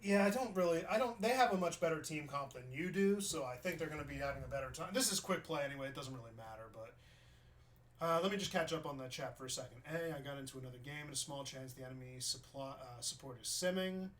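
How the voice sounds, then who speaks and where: A young man from New York talks through a gameplay review in a loose, conversational way. His voice sits a little high for a man. He thinks out loud and often circles back to restart a thought. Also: fast talker. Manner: chatty, thinks aloud with restarts. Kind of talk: casual gameplay review commentary.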